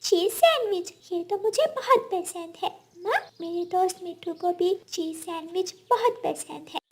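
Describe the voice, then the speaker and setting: Squeaky-high, sweet little schoolgirl bird. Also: unhurried, a little mellow. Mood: delighted.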